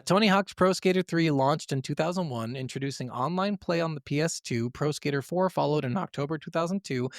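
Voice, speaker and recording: A man's voice: mid-range voice, dry recording, studio quality. A man co-hosting a gaming podcast, speaking informally and given to swearing, partly working from notes. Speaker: podcast co-host.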